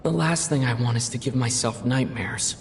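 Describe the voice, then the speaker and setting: Man playing a weary thinker in deep reverb. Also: normal speed, natural voice. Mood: weary, uneasy. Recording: long reverb, faint rumbling noise.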